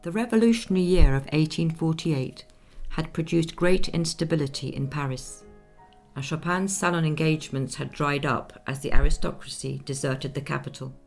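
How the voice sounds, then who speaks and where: An actress playing a poised woman recounting a life story in measured, formal radio play narration. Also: deep voice, creaky, faint music behind. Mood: composed, narrating.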